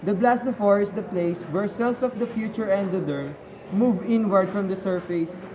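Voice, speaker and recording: A man's voice: high-pitched, moderate pace, background noise. A man presenting as a student, formally reading a scripted textbook-like explanation. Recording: chatter of people in the background.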